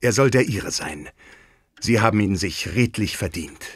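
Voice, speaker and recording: A man's voice: lowish voice, lively intonation, moderate pace, husky, no room sound. An actor playing a proud, effusive king in a German audio drama, speaking in a grand, courtly way. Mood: grateful, grand.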